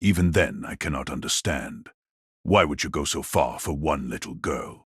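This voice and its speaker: Casting: ancient villainous mage, extremely low raspy voice, gloating and sinister, dramatised audiobook. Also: male voice, fairly bright tone, no room sound, clean studio sound, heavily acted. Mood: mocking, cold. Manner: slow, sinister, condescending. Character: wicked old male magus patriarch, cold, mocking, gloating.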